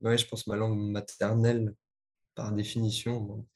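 A young adult man speaking casually as an interviewee, relaxed and easygoing. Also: lowish voice, moderate pace. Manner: relaxed, casual, slightly laughing. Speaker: interviewee, son.

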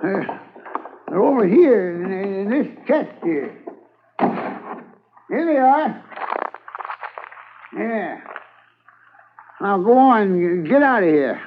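Stammering backwoods trapper, high lively voice, 1950s radio drama. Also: male voice, drawn-out words, long pauses, background noise, full character voice. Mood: jittery. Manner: nervous stammering with many uh and repeats. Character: jittery backwoods settler man. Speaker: settler and trapper. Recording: tinny 1950s radio transcription.

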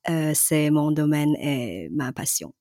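A woman from Hong Kong with a fairly low voice answers podcast interview questions in a measured way, pausing often on fillers. The recording is dry and close, with no room sound. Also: expressive, warm tone, dry recording. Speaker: guest, brand and content director.

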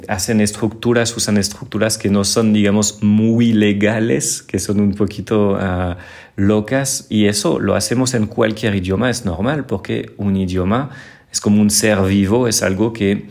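A man with a fairly low voice speaks Spanish with a strong French accent. He hosts a casual podcast, answering listener questions patiently. He often repeats and restarts small words as he goes. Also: a little mellow. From France. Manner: friendly, explanatory, many small repetitions and restarts. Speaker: podcast host and French teacher.

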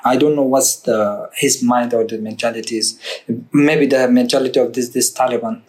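A young man from Afghanistan speaking halting, non-native English with many fillers and restarts, a guest telling his story in a casual interview. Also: medium pitch, fairly even intonation, darkish tone. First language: not English. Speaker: guest, barber.

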